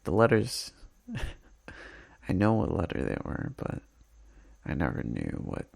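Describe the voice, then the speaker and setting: A man playing a homesick, tender boyfriend in an intimate ASMR roleplay voicemail, speaking softly and breathily with halting pauses and sighs. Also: low-pitched, very animated, creaky, no room sound, full character voice. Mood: weary, wry.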